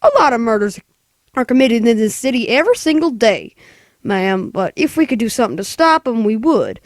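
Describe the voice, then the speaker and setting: A woman voicing a gruff, dismissive, weary city police sergeant in a 1940s radio play, with brusque, patronizing delivery. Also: a little high, sing-song, fairly quick, dry recording, clean studio sound.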